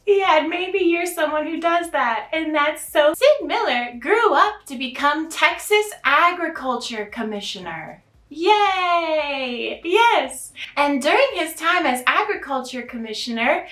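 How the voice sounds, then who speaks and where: Satirical storyteller, feminine American voice, bright and unhurried, sarcastically sweet and theatrical, heard in an echoing room. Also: sits high, lively intonation. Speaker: storytelling host in a drag elf persona. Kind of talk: satirical storytime to camera, mock fairy tale. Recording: roomy, echoing sound.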